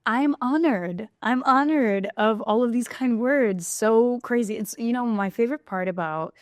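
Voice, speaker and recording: A woman's voice: a little high, a little slow, no room sound, studio quality. A woman from Brazil, a podcast guest, talking openly and reflectively about her life in casual conversation. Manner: reflective, open storytelling. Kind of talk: casual interview chat.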